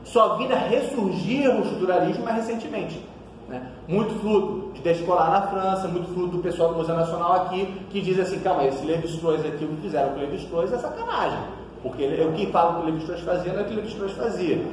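Brazilian man, 30s, lively lecturer, higher voice, distant echoey room recording. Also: quick pace, a little hiss or hum. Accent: Brazilian Portuguese. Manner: animated, gesturing widely, frequent tag questions. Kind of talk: academic lecture. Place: seminar room with whiteboard. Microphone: far camera across the table.

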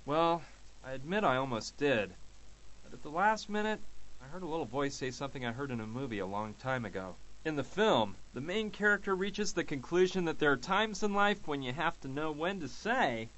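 An actor playing an easygoing young American geek hero, chatting playfully to the viewer in a video game. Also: male voice, on the high side, lively intonation, moderate pace, fairly bright tone, low-quality recording. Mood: breezy, playful. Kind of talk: game character narration to the viewer. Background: music.

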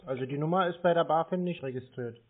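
A man speaks through a narrow, tinny phone-like channel. His voice sits a little high. He sounds doubtful and keeps pressing his point in conversation. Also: fast talker. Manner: sceptical, insistent. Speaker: scam baiter taking a call.

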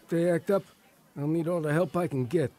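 An actor playing a tough, chummy barroom bouncer with slangy talk in a radio drama. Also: male voice, fairly high pitch, fairly quick, warm tone, soft background music, natural voice. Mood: breezy, chummy.

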